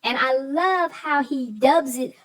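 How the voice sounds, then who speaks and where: A woman with a distinctly high voice, co-hosting a relaxed discussion of a children's story. She is agreeable in conversation and leans on her words for emphasis. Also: slow pace, rough recording. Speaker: co-host. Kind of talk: casual chat about a children's story.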